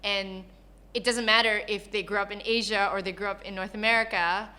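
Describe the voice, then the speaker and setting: A young woman talks in a bright, crisp voice, sitting in as a podcast guest. She laughs easily and tells stories with lively energy. She was born in Malaysia and grew up in Canada. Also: medium pitch, fairly quick. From Malaysia, raised in Canada. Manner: laughs often, animated storytelling. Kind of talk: casual interview chat.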